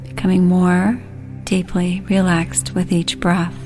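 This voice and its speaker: A woman leading a guided relaxation, speaking soothingly and gently in short, unhurried phrases. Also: fairly low pitch, completely flat delivery, faint music behind.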